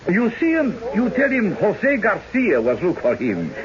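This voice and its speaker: A man's voice: high-pitched, wildly swooping pitch, unhurried, exaggerated voice. An actor playing a surly foreign hard man, speaking terse broken English in a radio drama. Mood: slyly friendly. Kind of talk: old-time radio drama acting. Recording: dull noisy radio broadcast, background chatter.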